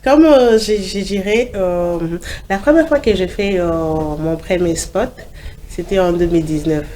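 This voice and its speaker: Woman host, head cold, mellow tone, chatty, lots of um, faint background noise. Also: fairly low pitch, measured pace. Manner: chatty, many fillers, upbeat. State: has a cold. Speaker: morning show host. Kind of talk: casual studio chat. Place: TV studio.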